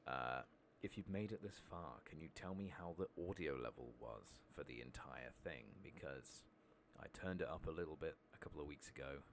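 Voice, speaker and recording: A man softly narrating his video game in an ASMR style, casually muttering to himself as he plays. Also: extremely low pitch, normal speed, hardly any pauses. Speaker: host of a gameplay channel. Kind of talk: soft-spoken ASMR game commentary. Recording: loud background noise, clicking.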